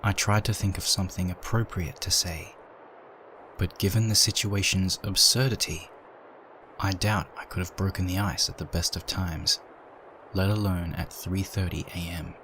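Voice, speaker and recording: A man reading a dark story aloud in a measured, dramatic narration. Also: deep voice, fairly even intonation, slight background noise, low-quality recording.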